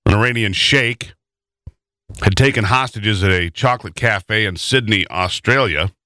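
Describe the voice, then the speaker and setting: Low-ish bright guy hosting a talk show, kinda indignant, stumbles a lot, dry studio sound. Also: unhurried, no echo. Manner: indignant, stumbling over his words. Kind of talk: news talk interview.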